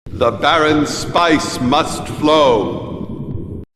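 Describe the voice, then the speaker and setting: A man playing a shrewd, ominous advisor in a video game briefing, speaking in a measured, portentous, theatrical way. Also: on the high side, a bit bright. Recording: noisy, long hall reverb.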